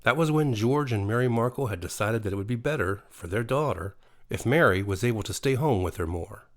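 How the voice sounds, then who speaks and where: A man's voice: fairly low pitch, animated, brisk. A man narrating a horror bedtime story in a dramatic storytelling style. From USA. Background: music.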